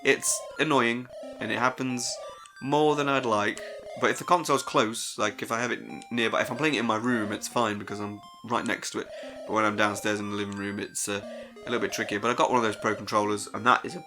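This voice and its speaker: A man's voice: fairly low pitch, a little fast, music in the background. A man hosting a casual, unscripted gaming audio log, rambling with hesitant but enthusiastic, informal, sweary delivery.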